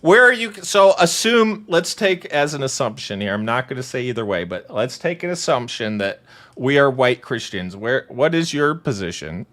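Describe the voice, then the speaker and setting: A man co-hosting a casual political podcast, sarcastic and quick to laugh as he reads comments aloud. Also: expressive, fairly bright tone. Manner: sarcastic, laughing, big swings in pitch. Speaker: podcast co-host.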